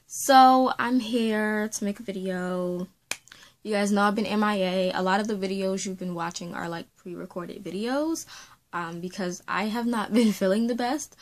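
A woman's voice: mid-range voice, normal speed. A woman in her late twenties, a faith and beauty vlogger, talking casually to camera from a small room at home, rambling and gesturing, her throat sore after being sick. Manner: rambling, talks with her hands. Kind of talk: casual chatty vlog to camera.

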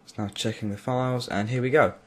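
A man giving a clear step-by-step voice-over for a software tutorial. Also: medium pitch, fairly even intonation, brisk. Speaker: tutorial creator. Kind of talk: screen-recorded software tutorial.

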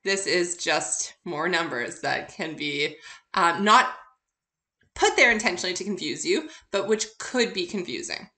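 A woman's voice: on the low side, animated, measured pace, sharp tone. A woman instructor narrating an informal recorded lecture, upbeat and encouraging, addressing her listeners directly. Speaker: accounting instructor.

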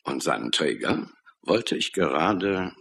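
An actor playing a blunt, sardonic police detective in a crime radio play, brusque and needling in manner. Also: male voice, very deep voice, very animated, slow pace, gravelly, fairly bright tone, slightly rough, exaggerated voice. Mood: brisk, smug.

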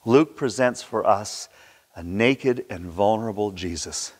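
A man in his sixties preaching a sermon with theatrical, emphatic delivery and dramatic pauses, recorded in a church. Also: on the low side, slightly rough. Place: large church sanctuary, indoors at a pulpit. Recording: reverberant church hall.

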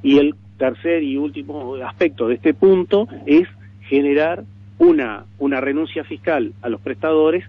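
A man with a Uruguayan accent explaining proposals in a radio interview, deliberate and repeating words for emphasis. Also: on the high side, expressive, breathy edge. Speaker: political interviewee. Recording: narrow tinny AM radio stream.

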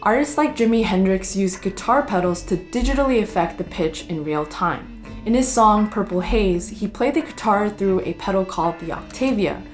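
A young American woman hosting with scripted educational narration. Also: fairly low pitch. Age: young adult. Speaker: host. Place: wood-panelled room indoors.

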